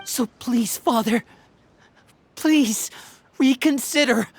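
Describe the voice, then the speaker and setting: Earnest game youth: fairly high, respectful, deliberate, heartfelt and imploring. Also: female voice, slight background noise. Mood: earnest pleading. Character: earnest youth in a strict orphan house, pleading. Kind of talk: video game voice acting.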